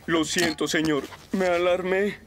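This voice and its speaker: A man's voice: high-pitched, unhurried, a little hiss or hum. A man voicing an eager, easily alarmed, clumsy young firefighter in a Latin American Spanish cartoon dub. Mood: sheepish, startled. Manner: excitable, theatrical. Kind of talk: animated cartoon dub acting. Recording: loud music behind.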